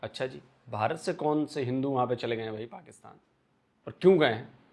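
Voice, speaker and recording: A man's voice: mid-range voice, fairly quick, creaky, a little mellow. A man hosting a video reaction channel, making wry, skeptical remarks as he watches. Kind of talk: casual reaction commentary.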